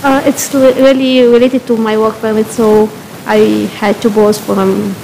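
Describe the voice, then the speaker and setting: A woman's voice: a little high, little pitch movement, normal speed, creaky, dark tone, a little hiss or hum, rough recording. A woman from Egypt introducing herself at a community board meeting, speaking at a podium mic with many hesitations. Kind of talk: public meeting remarks. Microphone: podium microphone.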